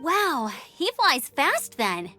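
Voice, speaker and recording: Very high, perky young game heroine. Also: female voice, takes it slowly, soft background music, exaggerated voice. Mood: eager. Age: young adult. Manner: perky, expressive, chatty. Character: bubbly, eager young woman gliding instructor and knight scout. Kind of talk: animated video game voice acting.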